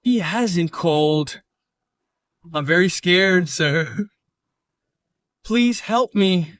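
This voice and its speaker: A man voicing a poor, frail old farmer who pleads fearfully in an animated story. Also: high voice, extremely slow, no room sound, distant mic, full character voice. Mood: pleading, scared.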